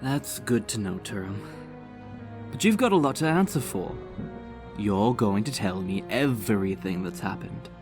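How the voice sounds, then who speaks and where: A man's voice: lowish voice, expressive, unhurried, music in the background, voice pushed up. A man voicing a loyal, good-humored male warrior speaking earnestly to an old friend.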